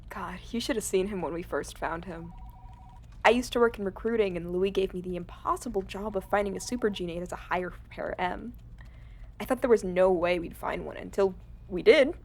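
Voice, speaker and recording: A woman's voice: mid-range voice, fairly quick, a little mellow, slight background noise, natural voice. A woman playing a friendly, chatty mercenary company recruiter in an audio drama, with a casual, affectionate manner. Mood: friendly, amused. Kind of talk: cinematic audio roleplay acting.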